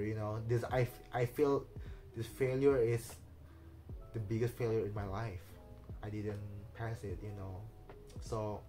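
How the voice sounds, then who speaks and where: A man whose first language is Indonesian, talking casually in halting English with many fillers, restarts and small nervous laughs. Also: fairly low pitch, rough recording. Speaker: vlogger. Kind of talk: casual personal vlog talk.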